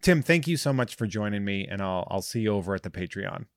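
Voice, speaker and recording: A man's voice: fairly low pitch, expressive, fast, heavy background noise. A man hosting a podcast with a slight lisp, chatting and giving plugs in an informal, slangy, effusive way. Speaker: podcast host. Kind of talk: casual chat and plugs.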